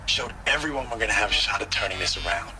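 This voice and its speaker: A man's voice: on the low side, animated, fast, background noise, close to an everyday voice. A man playing a weary, devoted soldier in a video game, confiding wistfully in a message to his wife. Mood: hopeful, fond. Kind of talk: dramatic audio log narration.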